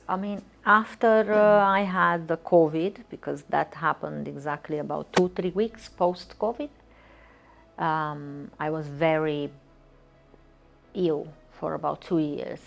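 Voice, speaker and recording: Woman, deep and creaky, hesitant interviewee answers. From Romania. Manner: hesitant, many fillers and repeated words. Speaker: patient.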